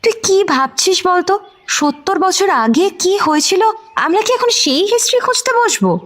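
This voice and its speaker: A very high-pitched, incredulous girl. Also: big pitch swings, normal speed, a bit bright, heavily acted. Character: incredulous, impatient young female friend. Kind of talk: casual audio-drama dialogue.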